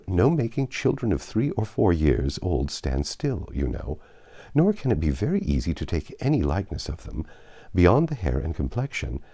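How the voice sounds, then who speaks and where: A poised young gentlewoman from a classic novel, chatty and gently ironic, voiced by a male reader. Her lines rise a little above his low natural register, with lively, wide-ranging intonation. The timbre stays warm and mellow. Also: creaky, full character voice. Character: confident, witty young gentlewoman. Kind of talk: audiobook reading of a classic novel.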